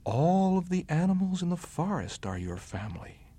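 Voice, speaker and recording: A man voicing a great silver male wolf who speaks slowly, gently and deliberately. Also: high voice, sing-song, pitched above the performer's own voice. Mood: gentle.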